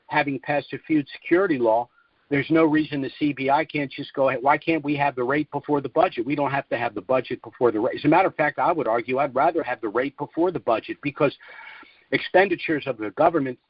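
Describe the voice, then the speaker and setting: A man's voice: mid-range voice, no room sound. A man hosting a call-in conference, giving opinionated, emphatic and argumentative commentary in a conversational way over a phone line. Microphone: telephone conference line.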